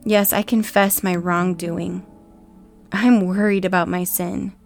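A woman reading a psalm aloud as a prayer, measured, with pauses between short phrases. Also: lowish voice, flat delivery, gravelly. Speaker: scripture reader. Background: faint acoustic guitar music.

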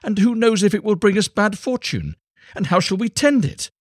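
A man voicing a poor woodcutter's wife, sharp and fretful, her complaints climbing high. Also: lively intonation, normal speed, slightly rough, no echo, studio quality. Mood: alarmed. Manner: complaining, rising to shrill protest.

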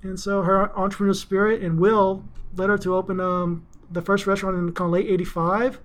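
A middle-aged man with a notably high voice talks through his story in a loose, rambling way, filling pauses with ums. He sounds relaxed and conversational, like a guest on a business interview show. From Greece, raised in Houston, Texas. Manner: chatty, rambling, frequent ums. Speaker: interview guest, finance executive.

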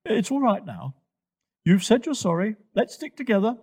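A man's voice: high voice, sing-song, moderate pace, a little mellow, husky, voice pushed up, heavily acted. A man voicing a bossy but caring giraffe friend in a children's story, firm and kindly. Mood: reassuring. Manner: firm, scolding yet kindly.

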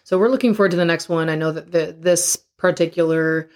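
A young adult woman co-hosting a podcast, chatting frankly and laughing often, her voice hoarse from a tiring weekend. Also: fairly low pitch, fairly even intonation, a little fast, a little mellow. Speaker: podcast co-host. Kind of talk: casual chat.

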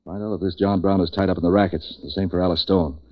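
An actor playing a streetwise, wisecracking man for hire in 1940s radio noir, terse and deadpan. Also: low-pitched, fast, lightly acted. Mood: businesslike. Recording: muffled vintage radio recording.

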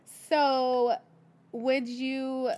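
A woman's voice: high-pitched, level tone, a little mellow. A woman hosting a casual chat, asking dating questions in a playful, teasing way. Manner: playful, teasing, quick to laugh. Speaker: podcast host.

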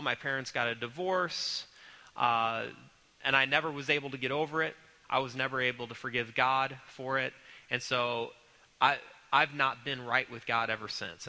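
A man with a cold, sounding congested, teaching a church class in an earnest, halting way with many ums and restarts. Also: mid-range voice, sharp tone, long reverb tail, poor audio. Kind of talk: recorded church class lecture.

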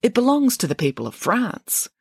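A woman's voice: fairly high pitch, big pitch swings, moderate pace, creaky, darkish tone, slightly rough, no echo, studio quality, voice pushed up, exaggerated voice. A woman voicing a clever, unfazed little girl who speaks bluntly and factually, in a story. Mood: matter-of-fact. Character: plain-spoken, bright little girl, unfazed.

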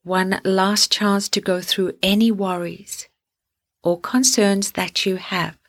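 A woman hosting a guided sleep meditation, speaking gently and soothingly in deliberate phrases with long pauses. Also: mid-range voice, little pitch movement.

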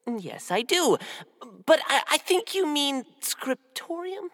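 A woman voicing a timid young male mouse scribe who squeaks and stammers nervously. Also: big pitch swings, slow pace, long reverb tail, heavily acted. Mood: eager.

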